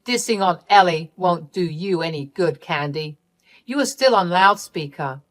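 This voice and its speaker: A woman's voice: on the low side, animated, a little slow, no echo. A woman voicing a strict, betrayed father in a story, speaking firmly with cold reproach. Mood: firm, disappointed.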